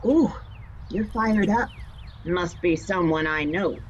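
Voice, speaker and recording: An actress playing a flippant, teasing retired heroine of sixty-five in a fan-made drama. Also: female voice, on the low side, slow pace, muffled recording, slight background noise. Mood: wry, weary. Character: brassy, flippant 65-year-old retired heroine, a mother.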